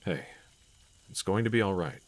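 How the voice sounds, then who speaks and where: A man playing a gentle, encouraging husband in an intimate scripted roleplay audio, speaking in a considerate, reassuring manner. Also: low-pitched, animated, moderate pace, dry recording. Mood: encouraging, supportive. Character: gentle, encouraging adult husband, calm and caring. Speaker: character audio voice actor.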